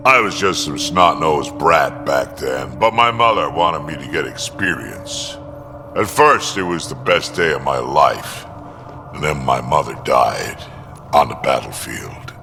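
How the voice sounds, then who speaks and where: An actor playing a battle-worn old alien warrior in a video game, gruff and weighty as he speaks. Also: a bass voice, lively intonation, sharp tone, soft background music, full character voice. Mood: grave reminiscing. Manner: slow, weighty storytelling. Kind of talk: video game character dialogue. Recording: long hall reverb, background noise.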